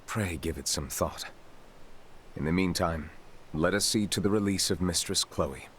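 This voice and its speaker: An actor playing a grave, cautious royal advisor in a video game, speaking in formal, measured, courtly phrases. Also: male voice, low-pitched, a bit bright, slight background noise. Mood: grave counsel. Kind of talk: video game cutscene acting.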